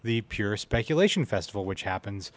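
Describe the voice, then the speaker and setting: A man's voice: fairly low pitch, very animated, brisk. A man hosting a casual interview, with a teasing, joking way of talking. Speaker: podcast host, interviewer.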